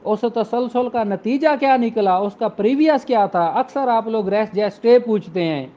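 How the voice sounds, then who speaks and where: A man giving an explanatory lecture in a didactic way, mixing in slowly and deliberately read English phrases. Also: high voice, warm tone, lo-fi sound. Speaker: law lecturer. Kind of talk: explanatory law lecture with examples, code-switching into English.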